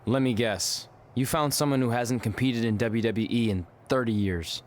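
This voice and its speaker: A man's voice: medium pitch, moderate pace, lightly acted. A man acting a sarcastic, exasperated male wrestling champion in a video game cutscene.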